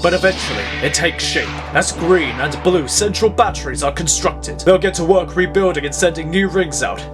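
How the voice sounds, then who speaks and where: High-pitched man narrating superhero action. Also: normal speed, music in the background.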